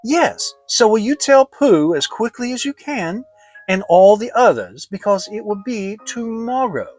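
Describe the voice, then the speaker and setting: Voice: mid-range voice, lively intonation, a little slow, a little mellow, faint music behind, pitched above the performer's own voice. A narrator voicing an eager, earnest little boy in a children's storybook.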